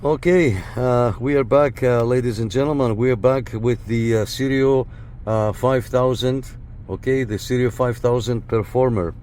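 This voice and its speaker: A man hosts a casual hands-on radio demonstration from a car. His voice sits at a middle pitch, with short, repeated call-style phrases. Vehicle and outdoor noise runs behind him. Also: fairly even intonation, moderate pace, darkish tone. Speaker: host of a CB radio antenna test. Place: inside a parked car and outside beside it. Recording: noisy car and outdoor background.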